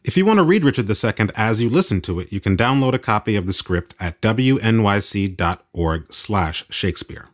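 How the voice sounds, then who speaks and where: Low-voiced male radio host. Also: animated, fairly quick, hardly any pauses. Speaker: host, writer and theater critic. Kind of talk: scripted radio narration and interviewing.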